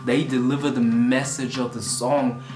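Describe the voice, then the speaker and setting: A man's voice: mid-range voice, flat delivery, normal speed, a little mellow. A man in his twenties, born in Vietnam, rambling casually to a camera about music, self-conscious and swearing now and then. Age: 20s. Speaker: vlogger and musician. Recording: roomy sound with music underneath.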